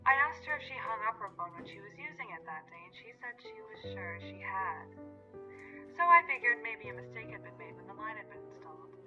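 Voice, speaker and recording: An actress playing an eerily friendly woman phone operator in a radio play, speaking in a measured, politely sweet and insistent way. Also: medium pitch, lively intonation, pushed and bright, lo-fi sound. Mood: friendly, storytelling. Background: music.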